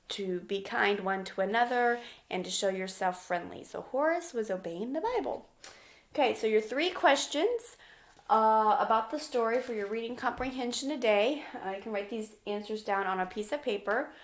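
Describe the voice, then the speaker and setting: A woman teacher reading a children's picture book aloud and talking it through simply, often putting questions to the young listener. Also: mid-range voice. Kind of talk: children's storybook read-aloud and lesson.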